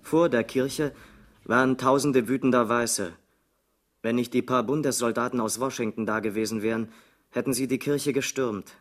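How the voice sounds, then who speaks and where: An actor playing an earnest civil rights activist who gives halting testimony in a radio drama. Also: male voice, mid-range voice, steady tone, lightly acted. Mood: earnest, relieved. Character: male civil rights activist recalling refuge, earnest survivor.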